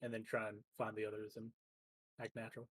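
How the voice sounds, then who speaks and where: A man's voice: lowish voice, completely flat delivery, fast talker, fairly bright tone, dry recording, rough recording. A man playing a tabletop roleplaying game, describing what his character does in a hesitant, informal way.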